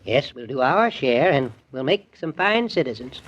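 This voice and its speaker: A man's voice: medium pitch, very animated, moderate pace. An actor playing a plainspoken, earnest older small-town man in a 1940s radio drama, with homespun grammar. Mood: solemn. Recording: dull lo-fi old radio broadcast.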